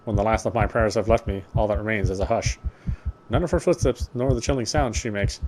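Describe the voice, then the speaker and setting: A man's voice: lowish voice, brisk, noisy background. A man streaming a game, reading its text aloud and commenting casually as a player.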